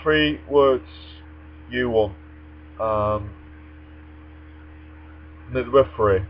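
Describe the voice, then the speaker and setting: A man's voice: medium pitch, extremely slow. A young man speaking English in an articulate, formal way, as if for a personal vlog. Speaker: vlogger. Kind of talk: reading aloud and casual answers to a tag challenge. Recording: dull lo-fi microphone with mains hum.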